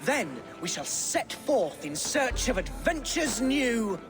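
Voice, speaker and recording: Pompous young Saxon noble: high, animated, florid, over game score. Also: male voice, takes it slowly, full character voice. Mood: admiring. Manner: pompous, theatrical, florid speech. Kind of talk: video game cutscene acting. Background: orchestral game music.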